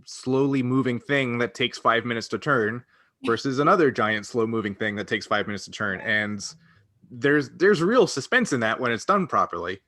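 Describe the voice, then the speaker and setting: A man's voice: mid-range voice, lively intonation, moderate pace, sharp tone, no room sound, studio quality. A man co-hosting a casual fan discussion, speaking earnestly and often rephrasing himself mid-thought. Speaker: podcast co-host.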